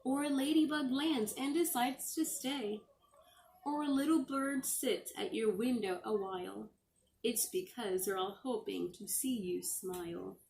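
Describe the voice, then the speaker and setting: A middle-aged woman reading a picture book aloud to young children as a teacher, expressively and with deliberate, drawn-out phrasing. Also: fairly high pitch, vocal fry, darkish tone, a little hiss or hum, poor audio.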